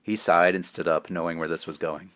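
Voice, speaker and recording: A man reading a children's book aloud as its author, expressive and wry, slipping in casual asides. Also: low voice, a little fast. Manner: expressive, self-interrupting, wry.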